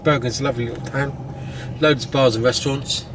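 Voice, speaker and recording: British guy vlogging from the passenger seat, teasing and joking, car noise clear behind him. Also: medium pitch, steady tone, fast. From United Kingdom. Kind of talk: casual travel vlog chat. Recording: noisy car recording.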